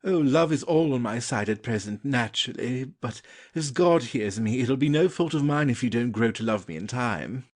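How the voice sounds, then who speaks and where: A man playing a lovesick, earnest young gentleman suitor in an audio stage play, polite, hesitant and pleading. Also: medium pitch, lively intonation, normal speed, few pauses, close to an everyday voice. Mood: ardent, pleading.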